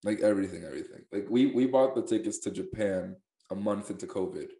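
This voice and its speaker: Chill young dude hosting a podcast, lowish voice, kinda roomy echo. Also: male voice, fast talker, dark tone. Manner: laid-back, swears, laughs easily. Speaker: podcast host. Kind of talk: casual chat.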